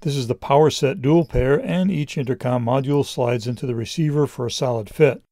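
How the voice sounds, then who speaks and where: A middle-aged man reading a scripted product review in a measured, explanatory way. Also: mid-range voice, dark tone, no room sound, clean studio sound.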